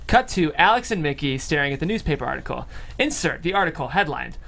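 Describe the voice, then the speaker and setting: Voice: mid-range voice, sing-song, quick pace, gravelly, a bit bright. A narrator reading out stage directions in a scripted style at a comedy table read.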